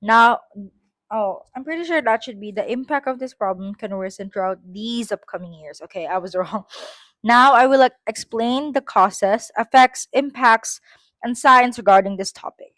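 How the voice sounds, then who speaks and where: A woman's voice: on the high side, normal speed, a bit bright, dry recording. A woman with a Filipino accent reads her own school essay aloud, breaking off for chatty, self-correcting asides.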